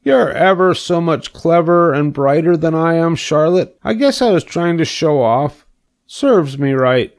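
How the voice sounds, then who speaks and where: Casting: eager young pig for a storybook, played by a man, naive and boastful, pitched high and very animated. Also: a little slow. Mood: sheepish. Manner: excitable, wide-eyed, earnest. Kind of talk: storybook character reading.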